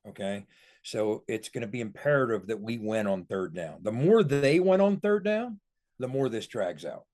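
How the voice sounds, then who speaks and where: A man's voice: medium pitch, a little mellow. A man giving sports analysis on a podcast in an emphatic, explanatory way.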